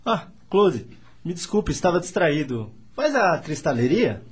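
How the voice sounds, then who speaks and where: Radio drama spiritualist friend , played by a man: excitable, formal, animated intonation, fairly high voice, faint noisy ambience. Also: unhurried. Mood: friendly, polite. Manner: expressive, formal. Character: excitable, indignant young gentleman, devoted spiritualist friend. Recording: background noise, ambience effects.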